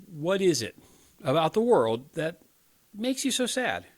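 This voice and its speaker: An actor playing an earnest, inexperienced young crisis counselor in a radio play, hesitant and pleading. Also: male voice, fairly high pitch, big pitch swings, unhurried. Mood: earnest, defensive. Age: 20s.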